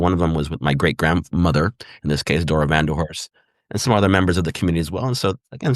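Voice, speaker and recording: A man's voice: deep voice, no room sound, clean studio sound. A middle-aged man from Puerto Rico, a historian answering interview questions thoughtfully and often adding "right?" and "again". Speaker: guest historian.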